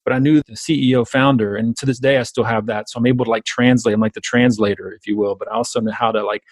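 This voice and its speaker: A man's voice: mid-range voice, dry recording, poor audio. A man with a Southern US accent, a business coach talking as a podcast guest in emphatic, repetitive run-on sentences over a remote call. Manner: emphatic, repetitive, talks in long run-on stretches. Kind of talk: conversational interview.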